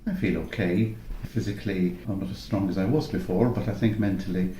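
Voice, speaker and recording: A man in his mid sixties, a church deacon and retired academic, speaking in a measured, earnest way. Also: sits low, mellow, a little hiss or hum. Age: 60s. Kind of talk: reflective interview answers. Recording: roomy echo.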